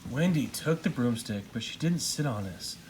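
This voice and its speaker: Animated man reading a bedtime story, noisy recording. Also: medium pitch, moderate pace. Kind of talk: storybook read-aloud narration. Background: household noise, young child interjecting.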